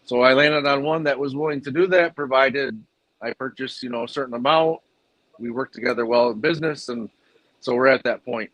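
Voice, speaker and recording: A man's voice: medium pitch, fairly quick, a bit bright, dry recording, poor audio. A man who runs a fish food business, answering interview questions in a plainspoken, rambling way with fillers.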